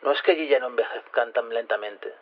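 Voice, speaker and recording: An actor playing an earnest, quietly indignant scientist and plotter in an audio drama, explaining insistently in a Castilian accent. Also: male voice, mid-range voice, quick pace, lightly acted. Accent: Castilian Spanish from Spain. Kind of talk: Spanish audio fiction acting. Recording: slightly dull radio-like recording.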